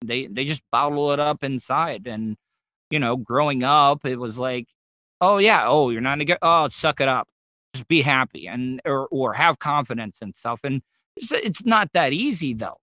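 A man's voice: mid-range voice, lively intonation, normal speed, bright, forceful tone, no echo. A man with a Southern American accent hosting a casual interview, chatty and self-deprecating, with frequent restarts. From Southern United States. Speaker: podcast host.

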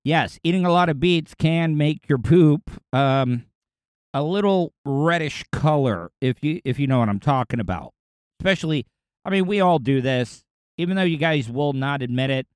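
A man hosting his own podcast, ranting in a casual, crude, animated monologue. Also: a little high, normal speed, smooth, no echo, clean studio sound. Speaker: podcast host.

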